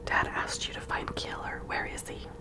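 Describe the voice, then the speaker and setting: A woman voicing an excitable, easily startled young girl in a whispered, breathy storybook reading. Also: normal speed, close to an everyday voice. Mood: indignant. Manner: exclaiming and indignant.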